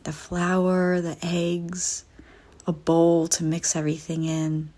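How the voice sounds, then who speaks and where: Slow, husky, low-voiced woman guiding meditation. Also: completely flat delivery. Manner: soft, breathy, soothing, deliberate delivery with frequent pauses. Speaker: guided meditation narrator. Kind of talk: guided visualization meditation, scripted first-person narration.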